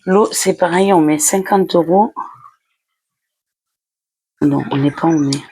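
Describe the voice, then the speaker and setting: A woman casually narrating each step as a budgeting vlogger, talking herself through it in short, halting phrases. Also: low voice, dark tone, breathy edge. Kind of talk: casual step-by-step narration while handling cash envelopes.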